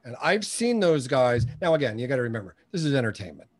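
A man's voice: mid-range voice, very animated, fast talker, husky. A man in his early sixties with a mid-range voice speaks as a guest in a conversational interview. He is blunt and opinionated, driving points home with emphatic, colloquial phrasing and storytelling. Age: early 60s. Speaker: interview guest, business coach. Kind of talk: conversational interview with anecdotes and advice.

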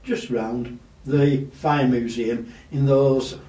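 A loud-voiced man talks through local matters as a councillor, full of pauses and fillers. His tone is mellow and dark. He sits away from the mic in a room with echo. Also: mid-range voice, steady tone. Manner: loud voice, halting with many fillers. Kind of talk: casual interview talk. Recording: echoey room, distant mic.

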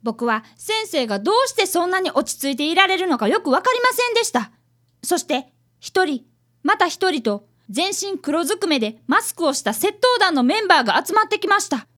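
A woman's voice: very high voice, very animated, a little fast, a bit bright, heavily acted. A woman playing a polite, earnest primary-school boy who is an apprentice detective and narrator in a radio drama. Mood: earnest narrating.

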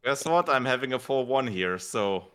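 A man co-hosting a casual podcast, speaking in a wry, amused, measured way. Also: mid-range voice, animated, a bit bright, smooth.

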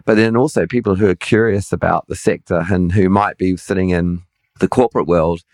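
A man's voice: low-pitched, fairly even intonation, a little fast, darkish tone, dry recording, studio quality. A middle-aged man with a somewhat nasal voice, hosting a podcast in casual conversation, hesitant and self-deprecating with many restarts. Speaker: podcast host.

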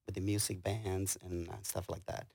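Middle aged guy w guatemalan accent, low crackly voice, hesitant casual chat, very dry sound. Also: little pitch movement, creaky, a little mellow, slightly rough, dry recording. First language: Spanish. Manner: halting, hesitant delivery. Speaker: interview guest, tailor and business owner. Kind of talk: casual conversational storytelling.

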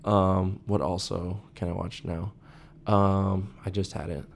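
A man's voice: low-pitched, level tone, slow pace, gravelly, a little hiss or hum. A young man co-hosting a podcast in casual chat, rambling with lots of "like" and "dude". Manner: rambling, heavy use of "like" and "dude". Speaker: podcast co-host.

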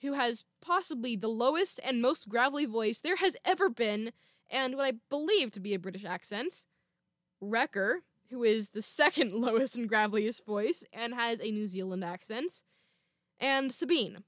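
A woman's voice: animated, moderate pace, a bit bright, dry recording, studio quality. A young woman hosting her podcast, reading from a script with small laughs now and then. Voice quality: naturally lower female voice. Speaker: podcast host. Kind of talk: scripted solo podcast talk. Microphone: home microphone.

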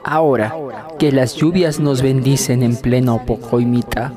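A man's voice: medium pitch, measured pace. A man from Cusco with a Peruvian Andean accent, presenting a scripted segment as a storyteller. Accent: Peruvian Andean Spanish, Cusco. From Cusco, Peru. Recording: loud music over the voice. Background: loud music.

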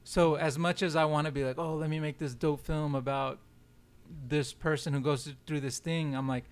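A man's voice: fairly high pitch, fairly even intonation, moderate pace, clear, resonant tone. A young man whose first language is Spanish, a film director guesting on a podcast and talking casually in loose, slangy speech full of "like" and "yo.". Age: young adult. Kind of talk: casual chat.

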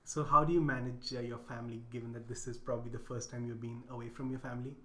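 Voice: medium pitch, little pitch movement, fast, sharp tone, slight background noise. A podcast host introducing a guest and asking short, friendly interview questions. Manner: upbeat, brief acknowledgments.

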